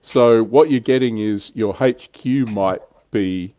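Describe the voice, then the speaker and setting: A man's voice: fairly low pitch, slow, warm tone, no echo. A man hosting a casual livestream chat about tabletop wargaming in an informal, conversational way. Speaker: livestream host.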